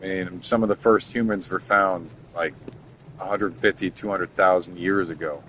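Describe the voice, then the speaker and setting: A man's voice: sits low, fairly even intonation, moderate pace, sharp tone, a little hiss or hum, low-quality recording. A man in his thirties chatting casually to his viewers while he plays a game, rambling from topic to topic and muttering to himself as he works through menus. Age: 30s. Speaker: game streamer.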